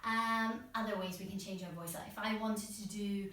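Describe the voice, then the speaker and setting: A woman's voice: medium pitch, steady tone, normal speed, a bit bright, faint music behind. A woman presenting an instructional voice workshop, informal and encouraging in manner. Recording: very echoey room, distant mic, faint noise.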